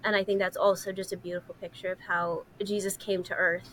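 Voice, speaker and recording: A woman's voice: mid-range voice, flat delivery, fairly quick, slight background noise, low-quality recording. A woman co-hosting a podcast, talking casually and earnestly about stories and faith. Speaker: podcast co-host.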